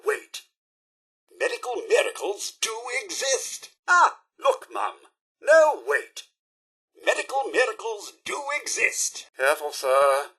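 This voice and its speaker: An actor playing a fussy, loyal, polite robot butler in a video game, with a British accent and a prim, formal, theatrical manner. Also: male voice, on the high side, sharp tone, husky, exaggerated voice. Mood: excited, then concerned. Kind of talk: video game character voice lines.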